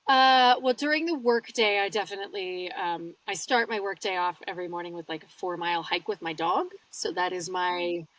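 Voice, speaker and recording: A woman, a guest audiobook narrator, chatting casually in an interview from a small padded home booth and telling her stories with lively swings in her voice. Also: normal speed, hardly any pauses, recorded from a distance, rough recording. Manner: animated storyteller with wide pitch swings.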